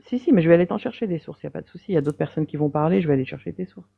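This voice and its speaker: A woman's voice: low voice, lively intonation, rapid-fire, gravelly, no echo. A woman calling into a live debate, defending her view in a hesitant way with many fillers. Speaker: debate caller. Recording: dry tinny phone line.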